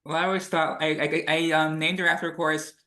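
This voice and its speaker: Bright voice in an echoey room, woman. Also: deep voice, little pitch movement, fairly quick. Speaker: director. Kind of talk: casual group discussion.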